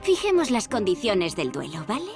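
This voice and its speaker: A woman's voice: medium pitch, huge pitch swings, moderate pace, vocal fry. A woman voicing a haughty, prickly teenage witch rival with a Castilian Spanish accent in a cartoon dub. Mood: smug challenge. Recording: music behind the voice.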